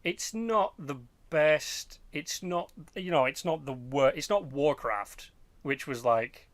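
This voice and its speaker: A man chatting casually as a podcast host, rambling and halting, with loose swearing. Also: fairly high pitch, no echo. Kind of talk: casual chat about game movies.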